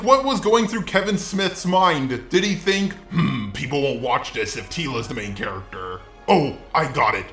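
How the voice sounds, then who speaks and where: Synthetic male voice, robotic text-to-speech, sharp tone, sarcastic ranting commentary, scripted delivery, music underneath. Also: high-pitched, roomy sound. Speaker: video commentator.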